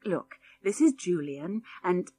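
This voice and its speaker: A woman's voice: fairly low pitch, sing-song, takes it slowly, mellow, slightly rough, exaggerated voice. A woman voicing a kindly, bustling farmer's wife and mother in a storybook, with a soothing, motherly manner. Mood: coaxing.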